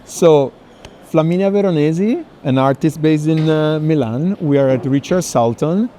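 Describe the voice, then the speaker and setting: A man's voice: on the high side, moderate pace, mellow. A man moderating a casual conversation at an art fair, thoughtful and often rephrasing as he goes. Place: art fair, walking tour. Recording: faint noisy fair hall ambience.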